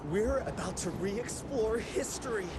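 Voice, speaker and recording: An actor playing an excitable, nerdy young inventor sidekick in a video game cutscene, eager, boyish and easily flustered. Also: male voice, sits high, measured pace, very noisy. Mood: awed, excited.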